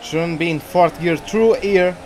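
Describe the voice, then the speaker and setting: A man's voice: a little high, a little slow, a little mellow, slight background noise, low-quality recording. A man from Portugal with a Portuguese accent, chatting and rambling as he streams live commentary on a racing game. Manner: chatty, rambling, easily distracted. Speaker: gaming streamer.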